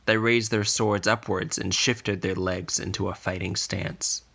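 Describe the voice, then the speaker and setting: A man narrating a scripted fantasy story in a measured, deliberate way. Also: lowish voice, steady tone, vocal fry, a bit bright. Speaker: podcast narrator.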